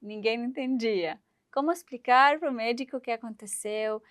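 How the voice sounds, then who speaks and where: A woman in her thirties from Syria, speaking in a fairly high voice. She tells her story with animation, acting out the voices of the people in it. The sound is dry and close. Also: normal speed, no echo. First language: Arabic. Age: 30s. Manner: animated, acts out quoted voices, laughs while telling. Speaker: guest. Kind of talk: storytelling interview.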